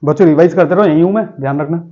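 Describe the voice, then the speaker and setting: A man's voice: fairly high pitch, rapid-fire, dark tone, highs cut off. A man teaching vocabulary to students in a chatty, joking classroom style, often calling them children and friends.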